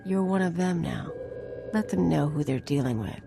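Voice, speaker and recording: An actress playing a wry, tough companion to an agent, speaking drily in a video game. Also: female voice, lowish voice, moderate pace, gravelly, heavy background noise. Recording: music behind the voice.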